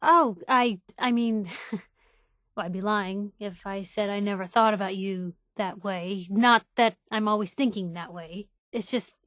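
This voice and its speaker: A woman acts out a plucky young female reporter from a video game. She speaks in a medium-pitched voice, close and dry, with wry, slangy bite. Her manner is bold and pushy. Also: a little slow, a bit bright, dry recording, lightly acted. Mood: flustered, vulnerable. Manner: wry, sardonic, casual slangy delivery. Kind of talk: video game character dialogue.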